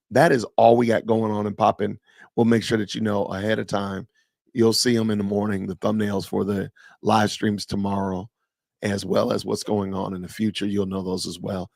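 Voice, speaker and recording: Gravelly low-voiced man in his fifties. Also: a little mellow, no echo. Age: 50s. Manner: chatty, reads and answers live chat aloud. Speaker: podcast host. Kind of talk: casual live stream chat with viewers.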